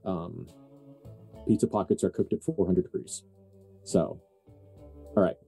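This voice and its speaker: A man narrating as game master in an improvised tabletop game, phrasing things deliberately and thoughtfully with emphatic pauses. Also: deep voice, vocal fry, warm tone, breathy edge, faint music behind.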